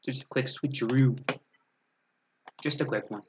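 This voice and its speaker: A man's voice: mid-range voice, a little slow, slight background noise. A man hosting a gaming stream in casual chat, dropping short joking remarks. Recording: dull lo-fi audio, loud crowd-like background.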